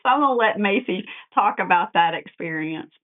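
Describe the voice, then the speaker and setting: A woman co-host telling a quick story in a hesitant way with many fillers. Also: mid-range voice, lively intonation, a crowd in the background. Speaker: podcast co-host. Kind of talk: casual chat. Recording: tinny phone-like line.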